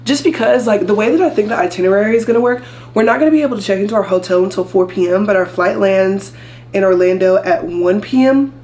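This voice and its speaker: A young woman vlogging casually to camera, chatty and rambling, her voice deeper than usual after losing it, with air conditioning humming around her. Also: fast talker, a little mellow. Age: young adult. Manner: chatty, rambling, says y'all a lot. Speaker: vlogger, nursing student. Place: coffee shop and car. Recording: noisy background with air conditioning.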